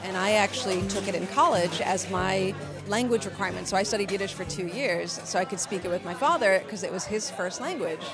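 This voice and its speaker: A woman's voice: medium pitch, a little fast. An American woman hosting a travel show, chatting with curiosity and enthusiasm and asking lots of questions. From United States. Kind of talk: casual chat. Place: busy bar. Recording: loud bar music and crowd chatter. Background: music and crowd.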